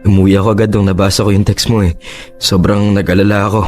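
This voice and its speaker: An actor in a Tagalog radio drama playing a protective, earnest young husband with a tender manner. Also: male voice, fairly low pitch, level tone, fast, a little mellow, faint music behind, lightly acted. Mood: caring. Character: devoted, protective young husband, apologetic and reassuring.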